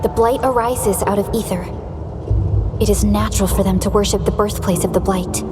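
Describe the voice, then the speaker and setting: A woman playing a calm, authoritative squad leader in a video game, explaining things in a composed, serious way. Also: medium pitch, normal speed, natural voice. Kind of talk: video game cutscene acting. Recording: loud game music behind.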